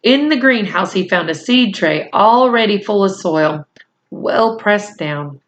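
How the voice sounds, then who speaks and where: A woman reading a children's novel aloud in a deliberate, measured storytelling manner. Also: medium pitch, animated. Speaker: storybook reader.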